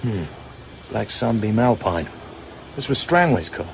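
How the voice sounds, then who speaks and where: A man's voice: fairly low pitch, sing-song, unhurried, creaky, background noise, full character voice. A man acting in a radio drama as a terse, wary British secret agent who speaks in a clipped, commanding, guarded way. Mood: businesslike.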